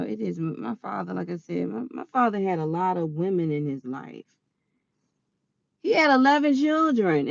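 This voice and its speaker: A woman around forty telling a personal story in a chatty, animated way, quick to laugh. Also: on the high side, vocal fry, dry recording, dull audio. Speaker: vlogger.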